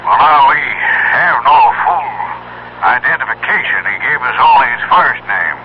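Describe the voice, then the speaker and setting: A man playing a guarded doctor running a sanitarium. He speaks politely and evasively with a very animated pitch in a radio play, on a muffled, slightly noisy recording. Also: normal speed, natural voice. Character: evasive, hedging male sanitarium director. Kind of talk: radio drama acting. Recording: muffled narrow old radio sound.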